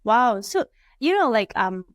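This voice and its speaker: A woman's voice: a little high, very animated, unhurried, dry recording, clean studio sound. A woman hosts a podcast, reading a scripted intro and then asking chatty questions with fillers. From Malaysia. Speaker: podcast host. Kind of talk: podcast interview.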